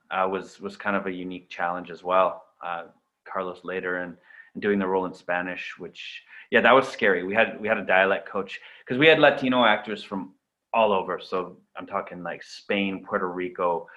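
Canadian-raised guy, german first language, lowish voice, rambly and relaxed, dull lo-fi sound. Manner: relaxed, rambling with many fillers and restarts. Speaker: actor, interview guest. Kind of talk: casual interview.

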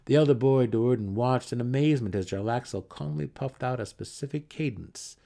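A man's voice: mid-range voice, fairly quick, creaky, mellow. A man reading a fantasy novel aloud as a dramatic audiobook narrator.

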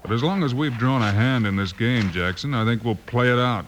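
A man playing a calm, firm western lawman hero with a self-assured American delivery, in old-time radio acting style. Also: medium pitch, a little fast, natural voice. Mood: firm challenge. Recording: noisy old radio recording.

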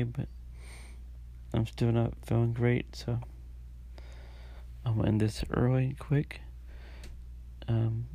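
A man with a stuffy, congested nose, hosting a casual solo diary podcast and talking in a halting way with many fillers and restarts. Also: medium pitch, gravelly, warm tone. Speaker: podcast host.

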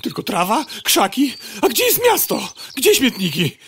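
A woman acts out a stubborn, excitable little city fly with a rough, husky edge. Her pitch swings widely as she chatters and pushes in colloquial comic audio-drama style. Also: measured pace, full character voice. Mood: lost, scheming. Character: brash, stubborn female city fly, lost in the countryside.

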